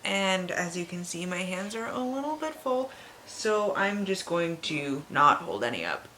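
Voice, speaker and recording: Lower-pitched woman, chatty and noisy background. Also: very animated, unhurried, pushed and bright. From Canada. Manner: informal, sweary, emphatic opinions. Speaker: book reviewer, video host. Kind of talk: casual chatty vlog.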